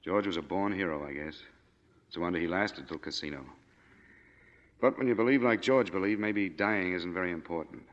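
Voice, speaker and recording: An American actor playing a laconic, world-weary former army major in a 1940s radio drama. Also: male voice, deep voice, a little fast, natural voice. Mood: somber, gentle. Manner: laconic, understated. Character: world-weary, plain-spoken male war veteran, former army major. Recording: dull, noisy 1940s radio recording.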